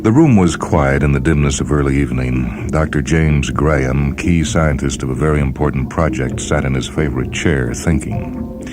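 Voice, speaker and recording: A man hosting and reading a story as scripted dramatic narration, in a deliberate, measured manner. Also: a bass voice. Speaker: host and story reader. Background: music under the voice.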